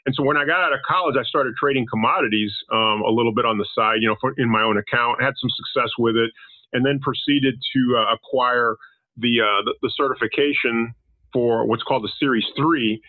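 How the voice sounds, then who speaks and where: A man from Panama whose first language is Spanish, a podcast guest explaining his background in business consulting in a measured way with frequent repeated words. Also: medium pitch, dry recording. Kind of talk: conversational interview answers. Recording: tinny phone-line call, dry.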